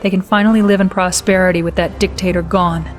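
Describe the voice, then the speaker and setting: An earnest, patriotic female intelligence officer played by an actress in a military game. Her voice sits fairly low for a woman, and she briefs her commander formally, with music behind her. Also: fairly even intonation, quick pace, lightly acted. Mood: proud, composed. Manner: formal, addresses listener as sir. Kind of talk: video game cutscene briefing.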